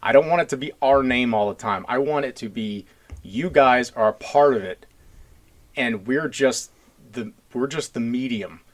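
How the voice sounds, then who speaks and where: A man's voice: medium pitch, moderate pace. A man hosting a casual podcast, talking in an earnest, rambling, blunt blue-collar way. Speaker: podcast host.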